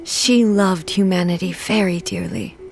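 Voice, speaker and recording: A woman playing a solemn, dignified immortal ruler in a video game, speaking formally and with measured gravity. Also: fairly low pitch, huge pitch swings, a little mellow, a little hiss or hum, exaggerated voice. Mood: wistful. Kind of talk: video game cutscene acting.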